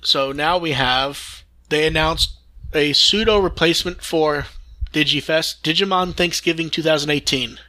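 Male podcast host, very bright forceful voice, rambling fan enthusiasm. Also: on the high side, moderate pace, low-quality recording. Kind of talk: casual fan news chat.

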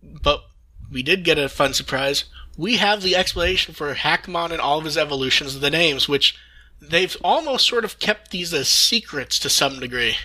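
A man with a slightly high, very bright and cutting voice. He hosts a casual news discussion and delivers it with wry, dry humour. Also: expressive, moderate pace, poor audio. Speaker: podcast host.

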